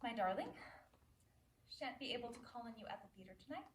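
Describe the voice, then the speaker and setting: A woman's voice: a little high, animated, quick pace, lightly acted. A woman playing a jealous, pleading young wife in a stage play, tearful and bitterly self-deprecating. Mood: wounded, subdued. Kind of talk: one-act stage play acting. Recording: echoey room from far off, noisy.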